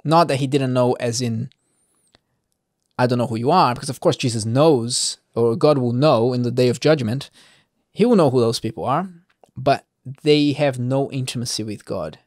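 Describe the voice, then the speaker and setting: A man's voice: medium pitch, normal speed, a little mellow, no echo, clean studio sound. A man hosting an informal Bible reading, commenting off the cuff in a conversational, digressing way and putting rhetorical questions to the listener.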